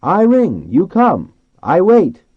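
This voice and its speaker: Guy reading as a friendly fisherman, loud n careful, simple words, real lively pitch, bit dull sound. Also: breathy edge, no room sound, full character voice. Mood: emphatic. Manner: loud, deliberate, simple phrases as to a foreigner. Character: friendly, earnest fisherman, delighted and careful in speech. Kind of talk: audiobook dialogue.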